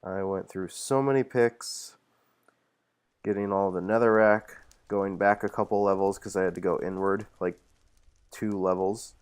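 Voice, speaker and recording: A man with a slight cold casually narrating a walkthrough of his game builds, relaxed and rambling with lots of fillers and self-corrections. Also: sits low, unhurried, clean tone. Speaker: channel host showing his Minecraft server builds.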